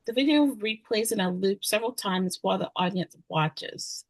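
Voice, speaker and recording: A woman's voice: mid-range voice, moderate pace, dry recording, poor audio. A woman reading stage directions as scripted narration at a screenplay table read.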